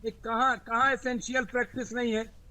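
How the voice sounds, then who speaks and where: A man's voice: very high voice, level tone, moderate pace, bright, forceful tone, low-quality recording. A man on a debate panel arguing heatedly, repeating his phrases to hold the floor.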